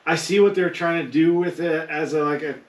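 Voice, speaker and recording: Guy on a podcast talking movies casually, slightly high voice, roomy and off the mic. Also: steady tone, fairly quick. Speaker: podcast panelist. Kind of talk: casual film discussion. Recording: roomy echo, distant mic.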